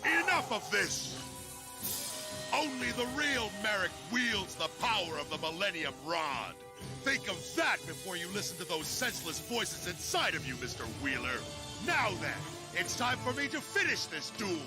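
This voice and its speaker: A man's voice: high-pitched, sing-song, moderate pace. An actor voicing a cold, scheming male villain posing as someone else, with a commanding, condescending manner. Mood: menacing. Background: dub soundtrack music.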